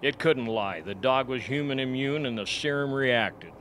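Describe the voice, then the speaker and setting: An actor playing a strained, insistent scientist in a radio play, speaking emphatically and repeating himself. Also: male voice, medium pitch, moderate pace, fairly bright tone, slight background noise, lightly acted. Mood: clinical. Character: male scientist reasoning under mounting suspicion, strained and insistent.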